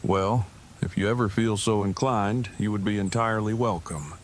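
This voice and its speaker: A man playing a stiffly formal, low-voiced engineer. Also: fairly even intonation, normal speed, a little hiss or hum, close to an everyday voice. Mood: polite, reserved. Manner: verbose, stilted, formal phrasing. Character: stiffly formal, earnest, awkward brainy male engineer. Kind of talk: fan audio drama dialogue.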